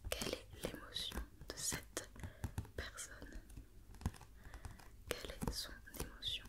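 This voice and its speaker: A woman whispering softly in an ASMR roleplay as a company doctor, gently reassuring and giving step-by-step instructions. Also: slow. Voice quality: soft unvoiced whisper.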